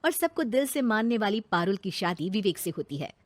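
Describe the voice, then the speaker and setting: A woman's voice: medium pitch, big pitch swings, normal speed. A woman narrator reading a scripted moral story in a dramatic storytelling style.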